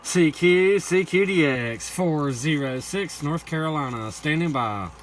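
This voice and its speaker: Loud static surrounds an American man at a CB radio. His voice sits a little high. He gives repetitive, formulaic call-outs in operator jargon. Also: lively intonation, unhurried. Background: loud radio static and noise.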